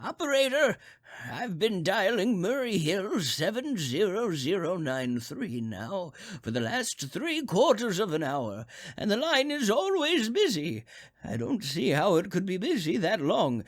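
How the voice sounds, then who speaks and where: A man's voice: sits high, very animated, unhurried, heavily acted. A man playing a crotchety, nervous old man in a radio drama, stammering and agitated as he talks. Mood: fretful. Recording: eerie music behind the voice.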